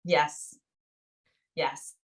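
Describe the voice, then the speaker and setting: A woman's voice: very slow, distant mic. A woman hosting a podcast in casual literary discussion, speaking in an informal, effusive way. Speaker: podcast host interviewing a guest.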